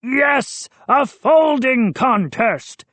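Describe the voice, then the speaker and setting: Woman voicing a gleeful high-pitched little gnome man. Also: extremely slow, dry recording, full character voice. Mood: excited. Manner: chanting, excitable, taunting. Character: gleeful, mischievous male gnome, small and taunting.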